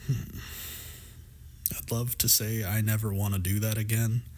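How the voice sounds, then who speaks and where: Guy doing a warm teasing best friend for a roleplay audio, kinda low voice, wry but really reassuring. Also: level tone, unhurried, slight background noise, close to an everyday voice. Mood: playful, teasing. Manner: playful, affectionate, wry, reassuring. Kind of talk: intimate scripted roleplay audio drama.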